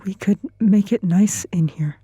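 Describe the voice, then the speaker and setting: Dark, husky, placid colleague. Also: female voice, mid-range voice, measured pace, dry recording. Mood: detached, placid. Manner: subdued, hesitant. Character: withdrawn, placid woman, detached from crisis. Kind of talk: audio drama dialogue.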